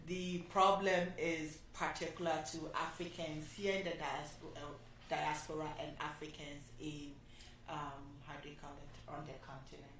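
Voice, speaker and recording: Woman host, low voice, distant mic in a very echoey room with faint noise; unhurried panel moderator. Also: flat delivery, fairly bright tone. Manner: unhurried, pausing with fillers. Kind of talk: panel discussion hosting.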